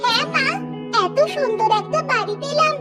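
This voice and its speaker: An eager little village girl from a cartoon, played by a woman, talks in an extremely high, childlike voice. She sounds chirpy, with loud music playing behind her. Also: normal speed. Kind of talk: cartoon character dubbing.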